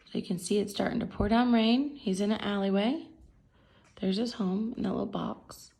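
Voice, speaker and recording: A woman's voice: medium pitch, fairly even intonation, normal speed, creaky, a little mellow, poor audio. A woman reading a picture book aloud to children, expressively explaining the pictures as she goes. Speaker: story reader for children. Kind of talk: picture book read-aloud with simple commentary.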